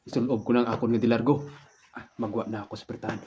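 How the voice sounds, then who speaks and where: A man's voice: mid-range voice, little pitch movement, rapid-fire, mellow, lo-fi sound. A man performing an improvised comic radio drama in an exaggerated way, narrating his own actions aloud one by one. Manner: exaggerated, spells out each action. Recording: noisy amateur recording with clicks.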